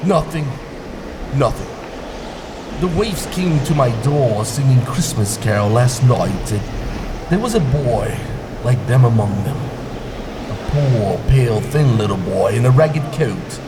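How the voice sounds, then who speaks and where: An actor playing an anxious, remorseful old miser in a radio drama, stammering and exclaiming theatrically. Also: male voice, mid-range voice, lively intonation, slow pace, noisy background. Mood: regretful. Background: music.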